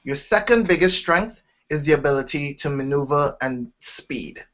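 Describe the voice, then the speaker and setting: A man's voice: fairly high pitch, lively intonation, moderate pace, a bit bright. A young man from Trinidad and Tobago with a Trinidadian accent, eagerly telling his startup story in an interview, often restarting his sentences. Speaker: startup founder, interview guest. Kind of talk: casual interview talk. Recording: dull lo-fi sound.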